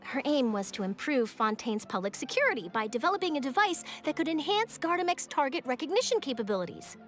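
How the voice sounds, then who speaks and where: Young female reporter, game character, extremely high, expressive intonation, articulate, earnest, soft music behind. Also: fast talker. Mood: earnest explaining. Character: eager young female news journalist, expressive, reassuring. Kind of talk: English video game voice acting.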